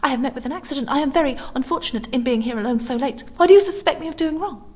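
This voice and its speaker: A woman voicing a frightened young woman lost at night, who talks in a breathless, hurried, pleading way. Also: high voice, darkish tone, voice pushed up, close to an everyday voice. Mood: anxious.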